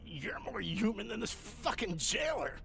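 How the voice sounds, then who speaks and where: A man's voice: high-pitched, big pitch swings, measured pace, gravelly, pushed and bright, recorded from a distance, soft background music, exaggerated voice. A man voicing a desperate, frantic condemned prisoner in a video game, pleading angrily, away from the mic.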